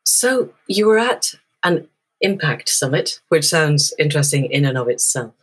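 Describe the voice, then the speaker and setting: A woman's voice: low voice, big pitch swings, normal speed, slightly rough. A woman hosting an interview, asking curious, encouraging questions. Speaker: podcast host.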